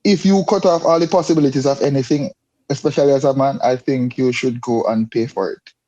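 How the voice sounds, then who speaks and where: A man's voice: medium pitch, brisk, mellow, dull audio. A man with a Jamaican accent chatting casually in a group, emphatic and repeating his phrases. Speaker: podcast panelist.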